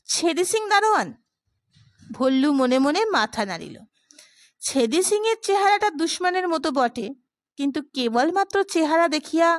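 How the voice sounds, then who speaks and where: A woman's voice: high-pitched, no echo, studio quality. A woman narrating a literary short story as a scripted audiobook.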